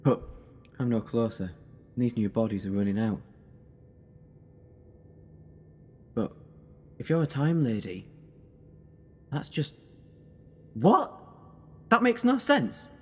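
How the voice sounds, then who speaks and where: A man's voice: mid-range voice, big pitch swings, unhurried, long pauses, slight background noise. A man acting in an audio drama as an intense, protective, heroic time-travelling alien. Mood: puzzled. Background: music.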